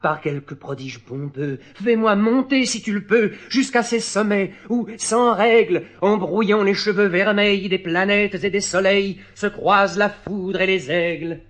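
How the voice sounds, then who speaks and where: An ecstatic circus clown played by a man from a dramatic poem, yearning and imploring. The voice sits high and soars toward exalted cries. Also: measured pace, few pauses, fairly bright tone. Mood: exalted, soaring. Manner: exalted, pleading, rising to cries.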